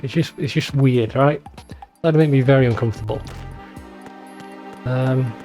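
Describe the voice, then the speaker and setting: Mellow man giving casual game commentary. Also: medium pitch. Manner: rambling, conversational, swears casually. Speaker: let's play host.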